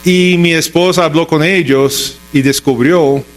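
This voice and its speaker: A man's voice: fairly high pitch, fairly even intonation, a little slow. A man with an American accent and English as his native language, preaching a sermon as a pastor with rhetorical questions and emphatic, repeated short phrases. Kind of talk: Sunday sermon with scripture reading and storytelling.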